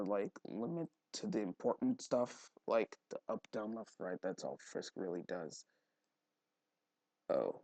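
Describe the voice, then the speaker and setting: Young male tutorial host, creaky fry, lively intonation, rambling and off-the-cuff delivery. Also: lowish voice, no room sound. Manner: rambling, thinking aloud, frequent throat clearing. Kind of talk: casual screen-recorded software tutorial. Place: indoors at a computer, voice-over for screen recording.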